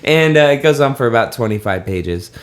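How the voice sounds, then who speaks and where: A man's voice: medium pitch, lively intonation, fairly quick. A man hosting a casual late-night podcast, tipsy, rambling with lots of fillers and frequent laughs. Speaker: podcast host.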